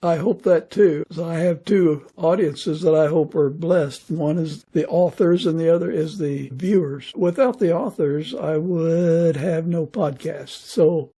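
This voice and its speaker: Husky, higher-voiced male podcast host. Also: a little slow, hardly any pauses, dark tone. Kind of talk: informal interview hosting and spoken prayer.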